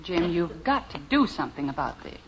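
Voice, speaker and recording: A middle-aged American woman acting as a long-suffering, gently reproachful wife and mother in a 1950s radio sitcom. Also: low voice, very animated, fairly quick. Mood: mild reproach. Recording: muffled, slightly noisy old radio recording.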